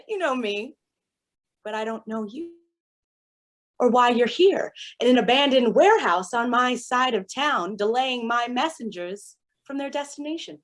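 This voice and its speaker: A woman's voice: on the high side, fairly bright tone. A woman playing a chatty, theatrically polite and menacing villainess in a staged play reading. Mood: gleeful, menacing. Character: manic, chatty villainess with smeared mime makeup.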